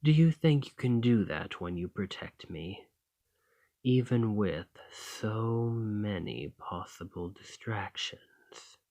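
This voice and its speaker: A man's voice: on the low side, lively intonation, takes it slowly, mellow, no room sound, exaggerated voice. A man playing a dominant, haughty fantasy thane in an ASMR roleplay, commanding and formal with a condescending, teasing edge. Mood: instructive, teasing. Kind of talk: ASMR roleplay acting, slow and intimate.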